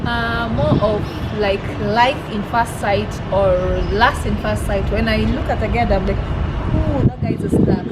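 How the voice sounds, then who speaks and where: Upbeat Kenyan woman on a loud street. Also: a little high. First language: Luo. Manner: upbeat and self-assured, quick ready answers. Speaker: vlogger answering questions. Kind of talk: casual street Q&A. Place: busy city street outdoors. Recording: loud street traffic noise.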